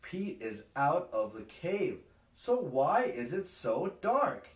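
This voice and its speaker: A man in his thirties reading a picture book aloud to young children in a deliberate, expressive way. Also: a little high. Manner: deliberate, expressive, simple phrasing. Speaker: storytime host, father reading aloud. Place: small room at home, indoors. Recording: roomy, distant home-camera sound.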